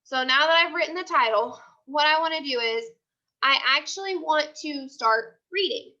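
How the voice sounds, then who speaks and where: A woman's voice: high voice, moderate pace, sharp tone, dull audio. A woman teacher giving a read-aloud lesson to third graders, emphatic and repeating her instructions.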